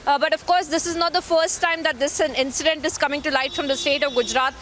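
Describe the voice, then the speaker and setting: A woman reporter with an Indian accent files a live report in wordy, run-on sentences. Her voice is high and bright. The link is reverberant, with some background noise. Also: fairly even intonation, fast talker. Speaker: news reporter.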